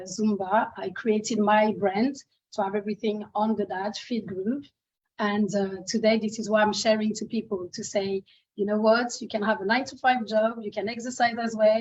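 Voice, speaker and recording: Husky French-accented woman on a call. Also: mid-range voice. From France. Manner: hesitant, many fillers. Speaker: Zumba instructor. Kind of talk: casual introduction in an online meeting. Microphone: video call. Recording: muffled video call audio.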